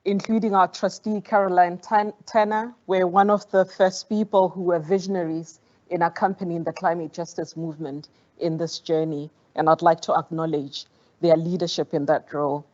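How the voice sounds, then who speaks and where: A woman whose first language is Setswana, giving a measured, formal conference speech as a foundation program director. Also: on the low side, level tone, darkish tone, dull audio. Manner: measured, formal, with occasional stumbles. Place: conference hall.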